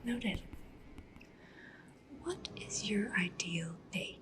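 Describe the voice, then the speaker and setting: Female, breathy whisper, mid pitch. ASMR matchmaker interviewer, gentle and attentive, with clear ambient noise and writing sounds behind. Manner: gentle, unhurried, attentive questioning. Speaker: ASMR roleplay performer. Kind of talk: soft-spoken whispered ASMR roleplay interview. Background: sci-fi ambience, writing sounds.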